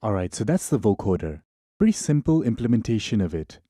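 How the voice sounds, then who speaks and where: A man narrating a software tutorial in an informal, explanatory way. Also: on the low side, big pitch swings, a little fast, no echo, clean studio sound.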